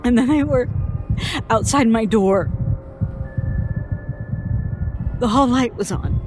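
An actress playing a frightened woman haltingly recounting a childhood horror in an audio horror drama, sounding haunted. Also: a little high. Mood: mounting dread. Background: music.

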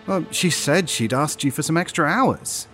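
A man's voice: a little high, lively intonation, moderate pace, pushed and bright, faint music behind. An actor playing a supportive, concerned male shop colleague in a naturalistic audio drama, helpful and conciliatory.

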